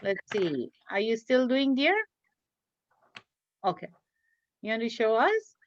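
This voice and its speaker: A woman with a slightly high voice leads an online lesson for young children. She takes her time and encourages each pupil with praise. The sound is dull and lo-fi, like a video call. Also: very animated, takes it slowly, a little hiss or hum. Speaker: children's religious school teacher leading a craft class.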